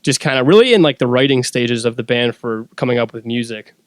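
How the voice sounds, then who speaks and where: A man's voice: mid-range voice, lively intonation, a little fast, no echo. A man telling stories in a casual, wordy, informal way as a podcast guest. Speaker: podcast guest and former band member. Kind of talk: casual conversational storytelling.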